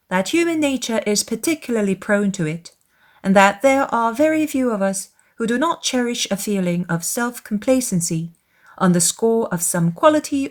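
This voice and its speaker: A woman's voice: medium pitch, close to an everyday voice. A woman voicing a solemn, bookish young woman who lectures pompously in a dramatized classic novel.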